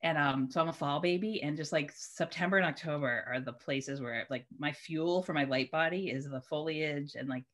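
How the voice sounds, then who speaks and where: A woman's voice: low-pitched, normal speed, hardly any pauses, a bit bright. A woman guest in a casual chat over a video call, rambling and searching for words, with lots of "like". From Massachusetts.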